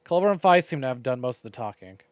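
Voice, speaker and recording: A man's voice: mid-range voice, lively intonation, fast talker, dry recording. A male streamer reading a visual novel aloud, with playful, wry asides. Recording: game music behind the voice. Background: clear game music.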